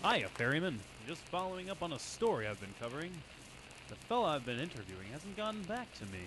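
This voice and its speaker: An actor playing a chatty, jittery newspaper reporter in an old-time radio drama, folksy and slangy. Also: male voice, on the high side, big pitch swings, fast. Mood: eager. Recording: echoey hall with faint noise.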